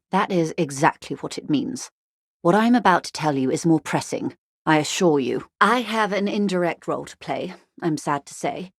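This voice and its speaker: A genteel, worldly negotiator speaks in a slightly low female voice with cool, ironic detachment. Her delivery is measured and precisely worded, as scripted game dialogue. The sound is dry, with no room around it. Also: dry recording. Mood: composed, urgent, then grave, confiding. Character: worldly, wry corporate negotiator woman, coolly detached and genteel.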